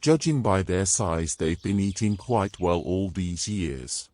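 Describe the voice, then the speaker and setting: Man acting a bold, low-voiced British knight. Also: lively intonation, slow pace, a little mellow. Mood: teasing. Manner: wry, brash, old-fashioned turns of phrase. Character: bold, gruff, teasing male knight adventurer. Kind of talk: romantic fantasy audio drama acting.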